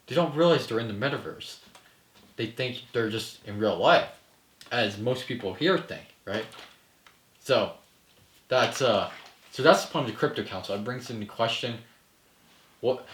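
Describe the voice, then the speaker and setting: A man with a mid-pitched voice talks casually, as a filmmaker laying out his ideas in an explanatory way. He often tags points with a questioning "right?", and the room around him is noticeably echoey. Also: a bit bright. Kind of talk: casual post-table-read discussion.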